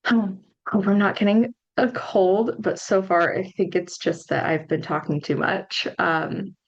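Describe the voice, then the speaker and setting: A woman in her thirties, a little hoarse from losing her voice, chatting casually as a podcast host and nutrition coach. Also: mid-range voice, steady tone, measured pace, highs cut off. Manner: chatty, conversational, frequent ums and likes. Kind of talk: casual solo podcast talk.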